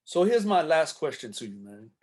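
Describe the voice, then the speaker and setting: A man hosting a casual podcast, playful and full of praise for his guest, stammering when excited. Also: a little high, normal speed. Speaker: podcast host. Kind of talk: casual chat.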